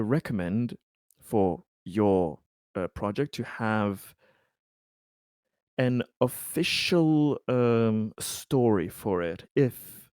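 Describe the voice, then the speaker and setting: A man plays a cautious, diplomatic male colony businessman in a tabletop roleplay. The voice is fairly low and very unhurried, with a dry, close sound. He negotiates in a deliberate, formal manner, halting and repeating himself. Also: darkish tone, dry recording.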